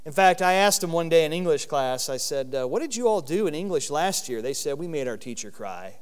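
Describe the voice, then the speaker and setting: A man with a loud voice giving an earnest Bible lesson in sermon style, stressing key words slowly. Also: fairly high pitch, lively intonation, clear, resonant tone, long reverb tail. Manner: earnest, emphatic with slow stressed phrases. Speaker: Bible teacher.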